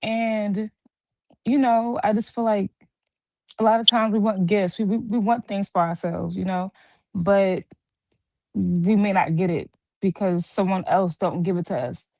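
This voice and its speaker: A woman in her thirties talks in an earnest, reflective way, taking her time over her own story. Her pitch sits at an ordinary middle height for a woman. The sound is dry and close, with no room around it. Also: unhurried, darkish tone, no room sound. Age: 30s. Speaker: guest. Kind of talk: casual chat. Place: hospital. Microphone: video call.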